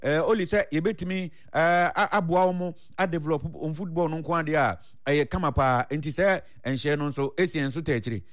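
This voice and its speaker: A man's voice: a little high, fairly quick, few pauses. A man with a Ghanaian accent presenting a sports news report.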